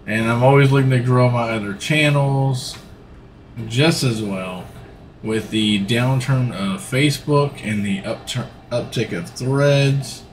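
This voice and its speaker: Slow, easygoing man streaming. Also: medium pitch, slight background noise, poor audio. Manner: easygoing, rambling, talks while playing. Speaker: livestreamer. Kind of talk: casual gaming livestream commentary. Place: home room, seated in a gaming seat.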